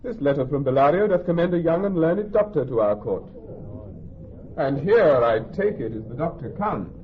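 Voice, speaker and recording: An actor playing a grave, authoritative ruler presiding over a court in Shakespearean radio drama, formal and measured. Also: male voice, on the high side, animated, slight background noise, lightly acted. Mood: formal, commanding. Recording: thin, phone-like old radio recording.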